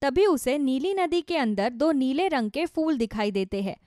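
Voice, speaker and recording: High, animated woman storyteller. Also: normal speed, no echo, studio quality. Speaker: story narrator. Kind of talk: scripted children's story narration.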